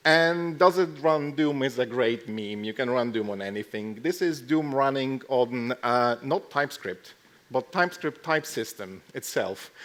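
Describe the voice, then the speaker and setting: A man speaking casually at a conference as he gives a short tech talk, with dry, deadpan joking asides. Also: mid-range voice. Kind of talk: casual lightning tech talk with live demo. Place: live talk to a conference audience.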